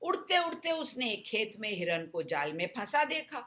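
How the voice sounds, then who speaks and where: A woman's voice: on the high side, very animated, normal speed, fairly bright tone. A woman narrating a scripted children's story in an explanatory way meant for young listeners.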